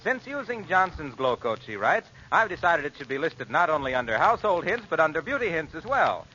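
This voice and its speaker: A man's voice: fairly high pitch, big pitch swings, brisk, sharp tone, husky, muffled recording. A man working as a radio announcer, reading a 1940s commercial with polished, earnest salesmanship. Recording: band-limited old radio recording.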